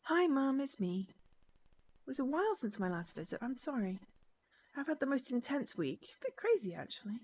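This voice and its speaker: A woman's voice: sits high, sing-song, moderate pace, a little mellow, exaggerated voice. A woman voicing an earnest, determined young woman in a storybook game, read as dramatic dialogue. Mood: apologetic, confiding.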